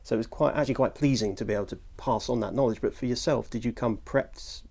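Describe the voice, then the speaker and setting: A man's voice: medium pitch, fast talker, gravelly, darkish tone, husky. A man hosting a chatty, jokey interview with enthusiastic banter. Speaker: podcast presenter interviewing a guest. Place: audio studio. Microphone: lapel mic, later ambient room mic.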